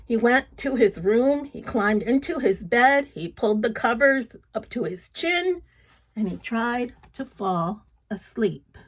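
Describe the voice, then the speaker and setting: A woman reading a children's story aloud, gentle and expressive, chatting to her young listeners as she goes. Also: on the high side, takes it slowly.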